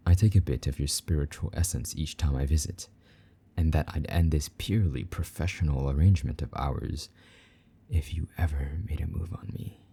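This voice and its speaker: A man's voice: a bass voice, dark tone, slightly rough, exaggerated voice. A man playing a possessive, teasing demon lover in an intimate roleplay monologue, taunting and doting by turns.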